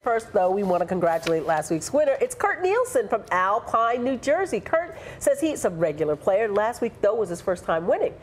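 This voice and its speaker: A woman's voice: medium pitch, sing-song, a little fast, hardly any pauses, over music. A woman anchoring live TV with friendly handover banter in a studio. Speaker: TV news anchor. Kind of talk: live morning news banter. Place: TV news studio.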